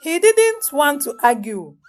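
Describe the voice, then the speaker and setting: A woman narrating a scripted folktale as a storyteller, solemn and dramatic, in short deliberate phrases. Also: high-pitched, sing-song, darkish tone. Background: music under the voice.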